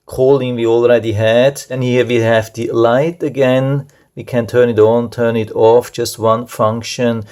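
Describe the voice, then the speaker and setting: Guy doing a casual product review, pretty even tone, matter of fact, just explaining how stuff works. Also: mid-range voice, measured pace, hardly any pauses. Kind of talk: casual unscripted product demonstration.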